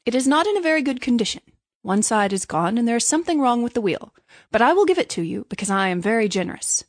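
A woman's voice: fairly high pitch, very animated, fast, no echo, studio quality. A woman voicing a pompous, greedy male miller in a storybook, preachy and self-satisfied while posing as generous. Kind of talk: storybook character voice.

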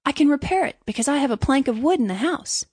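A woman's voice: sits high, lively intonation, quick pace, darkish tone, no room sound, pitched above the performer's own voice. A woman voicing a naive, good-hearted little man in a storybook, earnest, apologetic and eager to please. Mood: grateful. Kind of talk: storybook character voice.

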